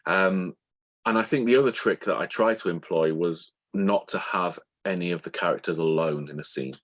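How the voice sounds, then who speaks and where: A man speaking as a guest author in an interview, explaining things in a thoughtful, halting, conversational way. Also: low voice.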